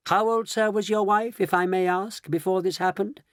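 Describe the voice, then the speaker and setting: A man's voice: high voice, fairly even intonation, normal speed, a bit bright, dry recording, clean studio sound, voice pushed up. A man voicing an eccentric, fussy candy inventor with courteous, theatrical speech in a storybook reading. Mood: exasperated explaining.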